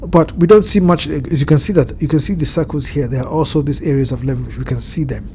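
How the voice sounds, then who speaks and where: A man with a blocked nose and a slightly nasal voice, walking through a chart with measured explanations and frequent pauses to find his words. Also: a little high, dark tone, a little hiss or hum. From Southern Hemisphere. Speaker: crypto market analyst. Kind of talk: screen-share chart walkthrough. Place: home at evening, indoors.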